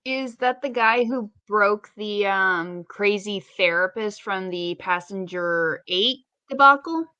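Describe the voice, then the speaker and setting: A woman's voice: medium pitch, slow pace, few pauses, pushed and bright, no echo, muffled recording. A woman co-hosting a podcast, talking in casual, conversational chat. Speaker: podcast co-host.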